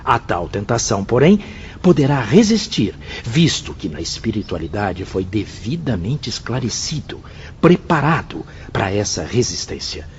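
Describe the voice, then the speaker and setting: A man's voice: lowish voice, big pitch swings, normal speed. A Brazilian actor playing an earnest, solemn man in a radio drama, reciting emphatically. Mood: solemn warning. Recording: dull lo-fi audio, faint background noise.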